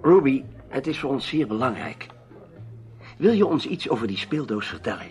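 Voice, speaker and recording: Brisk probing newspaperman played by a man. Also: medium pitch, very animated, darkish tone, soft background music, close to an everyday voice. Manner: commanding, probing. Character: brisk, impatient male newspaperman investigating a mystery. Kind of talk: radio drama acting.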